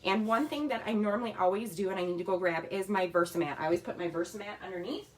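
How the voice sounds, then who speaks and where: A woman with a slightly raspy voice, chatting casually as she demonstrates a scrapbooking kit in her home craft room. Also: medium pitch, fast. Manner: chatty, talks while working with her hands.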